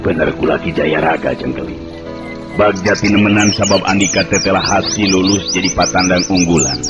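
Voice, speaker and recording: A male storyteller voicing a dignified old martial arts master in a radio tale, calm and commanding. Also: low voice, highs cut off, pitched below the performer's own voice, lightly acted.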